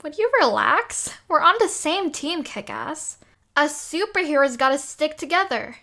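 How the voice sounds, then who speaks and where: A woman's voice: high voice, expressive, unhurried, sharp tone, exaggerated voice. A woman playing a cocky, foul-mouthed ten-year-old girl vigilante in a comic dub, with a taunting, bossy manner. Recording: background music under the voice.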